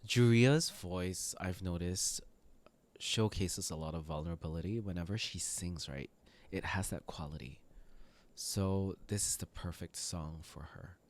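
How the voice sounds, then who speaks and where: A man giving casual, emotional reaction commentary as a host, speaking haltingly and often repeating himself. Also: deep voice, over music. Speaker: host of music reaction videos.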